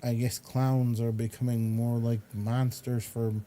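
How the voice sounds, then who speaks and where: A man with a very mellow, dark voice hosts a casual chat show. He talks slowly at a middling pitch, rambling and hesitating as he goes. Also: little pitch movement, slight background noise. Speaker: talk show host. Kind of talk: casual chat, reviewing entertainment.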